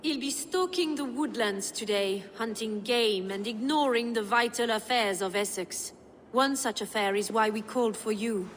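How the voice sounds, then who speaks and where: A highborn Frankish wife of a lord acted by a woman for a video game. She speaks fairly high, with wry, formal wit. Her words carry long reverb over faint ambient noise. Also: moderate pace, lightly acted. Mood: exasperated. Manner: wry, theatrical, formal archaic phrasing. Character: proud, witty Frankish noblewoman, wife of a lord. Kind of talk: video game dramatic acting.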